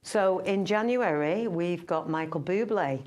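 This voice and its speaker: A woman's voice: fairly low pitch, unhurried, a little mellow. A middle-aged woman entertainer chatting casually in an interview, rambling with many fillers and restarts. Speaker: entertainer and singer.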